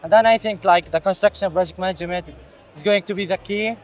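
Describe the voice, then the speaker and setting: Hesitant Syrian man, high voice. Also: fairly even intonation, quick pace. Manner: hesitant, many fillers and restarts, non-native English. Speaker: student. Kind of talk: casual interview answers.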